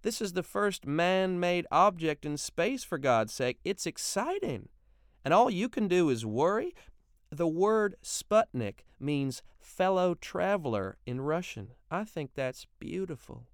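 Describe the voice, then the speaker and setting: A man voicing a sharp-tongued, level-headed housewife in a read-aloud story, speaking higher, slow and pointed. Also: sing-song, clean tone, no room sound, studio quality, full character voice. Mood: impassioned.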